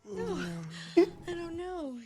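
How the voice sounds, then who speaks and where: A woman's voice: very high voice, animated, slow pace, crowd noise, heavily acted. A woman playing an affectionate, playful wife in a movie comedy. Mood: pleased, flirty.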